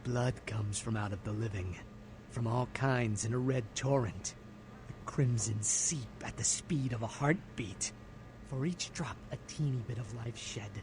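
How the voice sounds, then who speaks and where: Actor as a sleazy, bitter blood dealer, noisy background. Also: male voice, medium pitch, a little slow, close to an everyday voice. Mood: creepy, taunting. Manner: scolding, sardonic, lapsing into morbid verse. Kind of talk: video game voice acting, theatrical dialogue.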